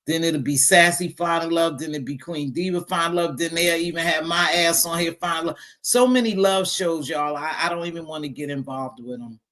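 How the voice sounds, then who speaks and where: Deep-voiced woman chatting bluntly with viewers. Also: fairly quick, few pauses, fairly bright tone. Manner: blunt, sassy, talks straight to viewers. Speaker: live stream host reading chat. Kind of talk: casual live stream chat.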